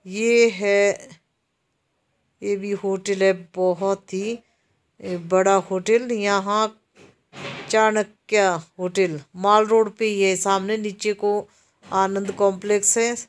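A woman from Himachal Pradesh narrating a casual walking tour of a busy outdoor market, explaining the shops to viewers as she films while walking. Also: mid-range voice. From Himachal Pradesh, India. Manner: slow, even-toned, explaining to viewers. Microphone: handheld camera while walking.

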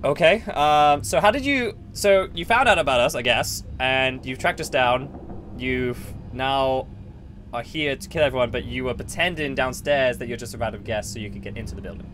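A young man hosting an improvised Minecraft roleplay, animated and laughing as he talks over his partner. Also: medium pitch, normal speed, slight background noise. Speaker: roleplay channel host playing a ghost hotel worker.

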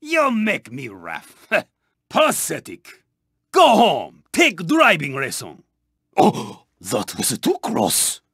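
A proud Japanese-accented race car from a video game. The delivery is slow, formal and clipped, swinging dramatically between low and high pitch. The voice is husky and intense. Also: male voice, wildly swooping pitch, fairly bright tone, no echo, clean studio sound, full character voice. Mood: menacing, restrained. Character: proud, intense, honour-bound male Japanese racing car. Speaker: video game character voice actor. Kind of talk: video game voice clips, short barks.

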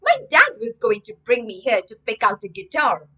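A woman playing a bold, curious young boy in a storybook, animated and exclaiming. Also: fairly high pitch, normal speed, exaggerated voice. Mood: dismayed.

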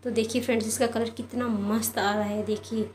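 A woman's voice: a little high, level tone, fairly quick, darkish tone, reverberant, slight background noise. A woman hosting a home cooking channel, talking viewers through a recipe in a casual, friendly way. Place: home kitchen.

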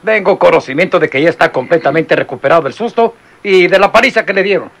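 A scornful police captain with a high, gravelly voice brushes his officer off in short, curt lines. The tone is old radio theater, gruff and impatient. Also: fast, a bit bright, full character voice. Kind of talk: 1960s radio drama acting.

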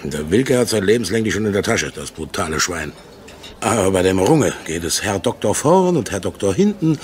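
A man's voice: deep voice, very animated, brisk. An actor playing a brash, jovial middle-aged salesman and war veteran in a radio drama, chatty and crude-tongued. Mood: bitter, sardonic. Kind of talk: German radio crime drama acting.

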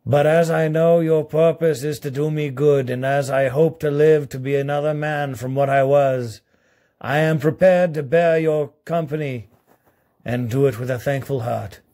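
A man's voice: a little high, fairly even intonation, moderate pace, mellow, natural voice. A man with a Southern US accent voicing a fearful, humbled old miser in a storybook, earnest and pleading.

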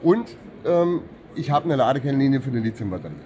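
Calm middle-aged male service technician. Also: medium pitch, brisk. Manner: calm, technical, matter-of-fact explaining. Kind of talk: trade fair interview, explaining products. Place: busy exhibition hall booth. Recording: trade hall with clear crowd noise behind.

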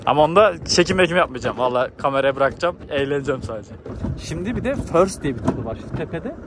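Young guy vlogging on a handheld cam outside, highish voice, slangy casual chat, pretty noisy background. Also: lively intonation, quick pace. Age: 20s. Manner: informal, slangy, thinking aloud. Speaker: travel vlogger. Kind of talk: casual spontaneous vlog chat. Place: outdoors on mountainside, some in cable car. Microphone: handheld camera at arm's length.

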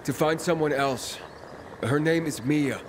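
An actor playing a guarded, determined young pilgrim in a video game, speaking earnestly and insistently. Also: male voice, fairly high pitch, a little slow, background noise, close to an everyday voice. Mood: guarded, urgent. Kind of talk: video game dialogue acting.

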